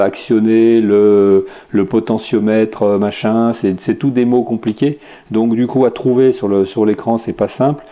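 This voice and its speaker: A man's voice: fairly low pitch, warm tone. A French man hosting a podcast, casually reviewing tech and games with many fillers and restarts. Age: adult with children. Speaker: podcast host.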